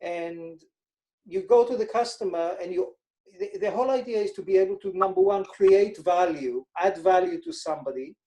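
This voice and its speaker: A man's voice: sits high, moderate pace, warm tone, low-quality recording. A man from Israel with an Israeli accent, a financial advisor explaining his methods in a casual interview and repeating words for emphasis.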